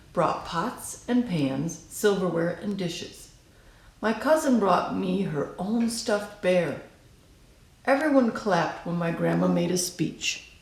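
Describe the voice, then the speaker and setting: Unhurried woman reading aloud, roomy sound. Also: lowish voice. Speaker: storybook reader. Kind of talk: expressive read-aloud of a children's picture book.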